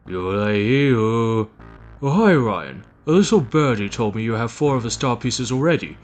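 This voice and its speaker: A man's voice: mid-range voice, expressive, measured pace, lightly acted. A smug, scruffy jackal, played by a man in an audio drama. He taunts in an oily, swooping delivery, with light music underneath.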